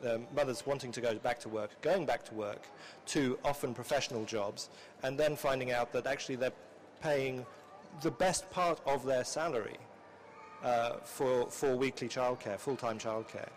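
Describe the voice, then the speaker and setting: Wordy male moderator, medium pitch. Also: normal speed, slight background noise. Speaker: discussion moderator. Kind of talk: semi-formal panel discussion.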